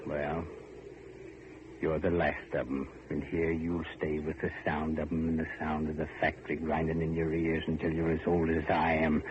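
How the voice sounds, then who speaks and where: Very deep voice, vengeful old male servant, taunting, radio horror, muffled noisy recording. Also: monotone. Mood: bitter taunting. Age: older. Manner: menacing, taunting, drawn-out emphasis. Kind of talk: old-time radio horror drama acting.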